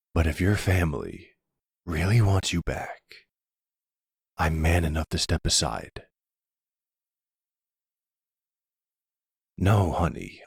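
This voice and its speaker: A man voices a protective young-hearted mafia boss boyfriend in an intimate roleplay drama. His low, husky voice sits close and dry, and he talks in a measured, reassuring, earnest way. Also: dry recording, full character voice. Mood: firm, defensive. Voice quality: deep, husky. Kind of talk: ASMR audio roleplay drama.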